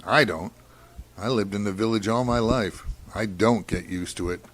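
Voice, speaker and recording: Man playing a low-voiced, uneasy farmer father. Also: normal speed, fairly bright tone, slight background noise, close to an everyday voice. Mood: troubled, insistent. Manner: rustic, folksy speech. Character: uneasy plainspoken farmer father, doubting village tradition. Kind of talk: radio drama acting.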